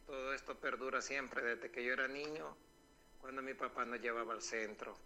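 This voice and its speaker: A man's voice: medium pitch, steady tone, moderate pace, sharp tone. A man, calling in by telephone to a local radio show, talking in a devout, nostalgic way with many fillers. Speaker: phone-in caller. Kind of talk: casual phone chat. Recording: noisy phone line.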